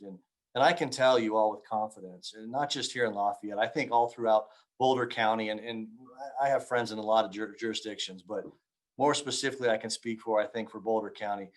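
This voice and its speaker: A middle-aged man giving earnest, informal remarks as a police officer, often halting as he searches for words. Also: mid-range voice, fairly bright tone. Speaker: police officer speaking at a community forum.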